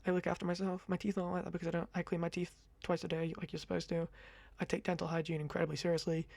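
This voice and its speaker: A young man in his twenties with a high-pitched voice, rambling casually to camera in a chatty, self-deprecating ASMR talk on a close mic. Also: completely flat delivery, very fast, vocal fry, darkish tone, no echo. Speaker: ASMR creator. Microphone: close condenser mic.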